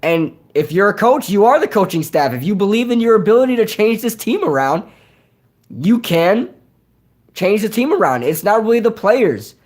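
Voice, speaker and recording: A young man whose voice is nearly shot from yelling, rambling casually through a live Q&A with lots of fillers and repeated words. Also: high voice, big pitch swings, moderate pace. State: hoarse, voice nearly gone from yelling. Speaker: streamer hosting a live Q&A. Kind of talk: casual live-stream chat reading comments.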